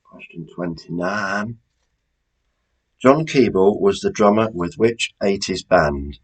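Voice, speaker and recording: A man's voice: fairly low pitch, lively intonation, slow pace, husky, rough recording. A British man presenting a quiz in a chatty, informal way, as the host reading out questions.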